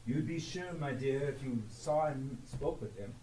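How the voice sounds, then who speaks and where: An actor playing a tender, earnest, grieving family father on stage, with an emotional, drawn-out delivery. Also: mid-range voice, warm tone, far from the mic, lightly acted. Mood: fond, admiring. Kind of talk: theatrical live stage acting. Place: theatre stage. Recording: echoey, noisy live stage recording from afar.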